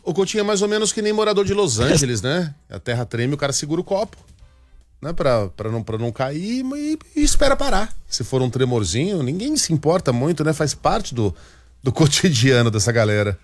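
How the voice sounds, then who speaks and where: A man's voice: on the high side, sing-song, a little fast, no echo, soft background music. A male radio host in joking studio banter, playful and teasing.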